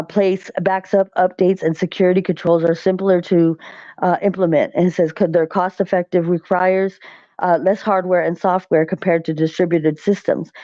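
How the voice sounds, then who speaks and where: Woman reading off her screen, stumbles and restarts a lot, low mellow voice, kinda muffled lo-fi sound. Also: no echo. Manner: reads text haltingly with restarts and fillers. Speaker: co-host reading material aloud. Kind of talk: casual online stream commentary.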